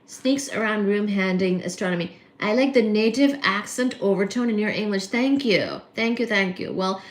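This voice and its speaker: A Bengali woman from Kolkata hosts a casual livestream, reading out viewer comments and answering them in a chatty, rambling way. Her English carries a mixed Indian and American accent. Her voice sits at a middle pitch and sounds roomy and echoey. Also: normal speed. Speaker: livestream host.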